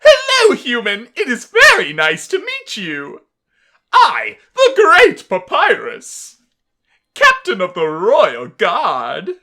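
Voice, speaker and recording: A woman's voice: on the high side, wildly swooping pitch, slow, pushed and bright, pitched above the performer's own voice, exaggerated voice. A woman voicing an exuberant, grandiose male skeleton royal guard for a visual novel game, with pompous, theatrical, emphatic delivery. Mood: grand greeting.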